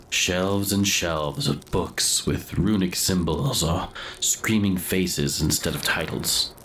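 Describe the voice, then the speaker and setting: Guy doing a brooding doomed grave robber narrator, super low slow voice, audio drama, bit of noise behind. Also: fairly bright tone, heavily acted, low-quality recording. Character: haunted, doomed male grave robber narrating his confession.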